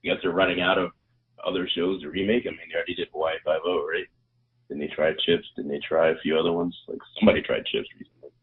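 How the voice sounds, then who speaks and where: Male co-host, very deep and husky, blunt and sarcastic, heard over a tinny phone-like line. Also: flat delivery, fast. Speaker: podcast co-host. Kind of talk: casual movie podcast chat.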